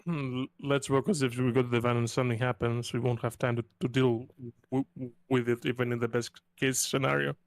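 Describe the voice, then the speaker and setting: A man's voice: medium pitch, level tone, a little fast, hardly any pauses, dry recording. A man talking casually as a player in a tabletop game.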